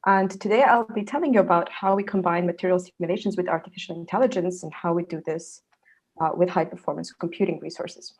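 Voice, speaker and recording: A woman's voice: mid-range voice, breathy edge. A woman from Serbia, a research fellow in her thirties, giving an online scientific presentation with frequent fillers.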